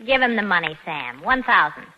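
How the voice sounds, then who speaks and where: Cool, sly American dame, animated. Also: female voice, fairly low pitch, fairly quick. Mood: cool, mocking. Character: cool, sly American woman swindler, casually in command. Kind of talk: 1940s radio crime drama acting. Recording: dull, faintly noisy vintage radio recording.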